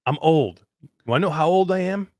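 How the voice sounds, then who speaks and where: A man of fifty hosting a live show in casual chat, reading out greetings with excitement. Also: on the high side, normal speed, dry recording. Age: 50s. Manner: excited, animated chat shout-outs. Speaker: host.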